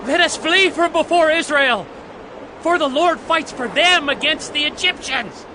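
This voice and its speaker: A man's voice: extremely high pitch, a little slow, background noise. A man playing a panicked ancient soldier in a scripture audio drama, urgent and alarmed.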